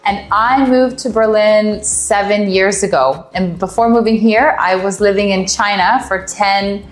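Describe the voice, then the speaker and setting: A woman's voice: medium pitch, a little slow, echoey room. A woman from Canada introducing herself as a guest on a cooking show. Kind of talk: casual self-introduction.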